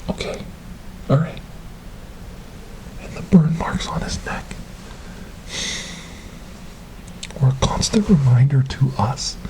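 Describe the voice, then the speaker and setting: A man playing a soothing, eerie asylum helper in a horror ASMR roleplay, coaxing a tormented creature with hushed, repetitive phrases. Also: a little high, poor audio.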